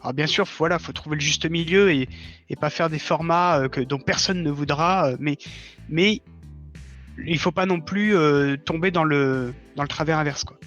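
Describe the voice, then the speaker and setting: A man with a fairly high, bright voice talks as an interview guest in a casual, earnest way. He explains and advises over background music, and the sound is somewhat dull and lo-fi. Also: moderate pace. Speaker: interview guest, audio fiction author. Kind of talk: casual conversation on a livestream.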